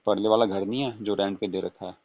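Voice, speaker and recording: A man's voice: on the low side, steady tone, fast talker, no echo. A man playing a flirtatious, coaxing father-in-law in a casual, intimate phone-call role-play, murmuring and adding questioning hums. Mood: persuasive. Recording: tinny phone-line call recording.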